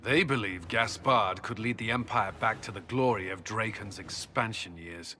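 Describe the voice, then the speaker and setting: An earnest military commander, forceful and firm, with a slightly low voice. He briefs formally and gravely in a game cutscene, with some ambient noise behind him. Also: moderate pace. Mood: grave, urgent. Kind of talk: video game cutscene acting.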